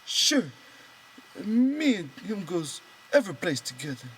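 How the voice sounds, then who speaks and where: A man voicing a simple-minded, trusting and stubborn grown man in an audiobook, with childlike, halting phrasing. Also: sits high, sing-song, a bit bright, a little hiss or hum, pitched above the performer's own voice, heavily acted, rough recording. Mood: agreeable. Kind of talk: character voice in an audiobook reading.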